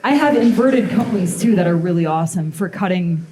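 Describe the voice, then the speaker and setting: A woman's voice: on the low side, lively intonation, normal speed, mellow. A woman giving a live metalworking demonstration, talking casually with lots of fillers. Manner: casual, rambling, many "um" and "like" fillers. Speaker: blacksmith demonstrator. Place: demo space with a live audience. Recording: echoey room with crowd sounds.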